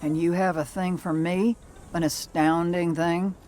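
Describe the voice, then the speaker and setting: A woman voicing a tough, wry bounty hunter in a video game, with a dry deadpan manner. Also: fairly low pitch, measured pace, close to an everyday voice. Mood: dry, puzzled. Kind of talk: video game character acting.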